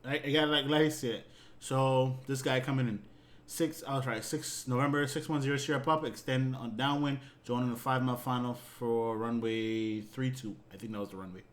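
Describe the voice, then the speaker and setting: A man giving an informal, halting reaction commentary, demonstrating controller phraseology as he explains it. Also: medium pitch, steady tone. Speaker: air traffic controller reacting to a video.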